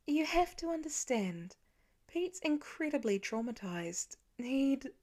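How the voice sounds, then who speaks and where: A woman's voice: high-pitched, sing-song, slow pace, darkish tone, pitched above the performer's own voice, full character voice. A woman voicing a caring mother who explains things carefully and with measure in an audiobook. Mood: grave, careful. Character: caring, careful mother softening hard news. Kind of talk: acted audiobook dialogue.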